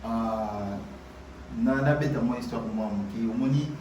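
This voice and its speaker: A man's voice: mid-range voice, a little mellow, muffled recording. A man from Kinshasa, talking hesitantly as a guest musician in an interview. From Kinshasa, Congo. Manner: hesitant, halting, many fillers. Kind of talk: casual interview talk. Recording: distant echoey noisy remote line.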